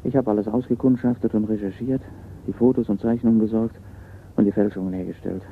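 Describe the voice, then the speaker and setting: An actor playing a resigned art forger on the run in a radio crime drama, terse and subdued. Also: male voice, lowish voice, steady tone, fast talker, natural voice. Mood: matter-of-fact confession. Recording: tinny band-limited radio recording with hiss.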